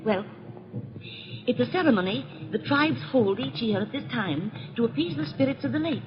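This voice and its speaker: A woman playing a gentle, devoted invalid wife, hesitant and anxious to please, with refined old radio drama delivery. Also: fairly high pitch, animated, normal speed, lightly acted. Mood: eager, gentle. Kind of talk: 1930s radio drama acting. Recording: muffled old radio recording.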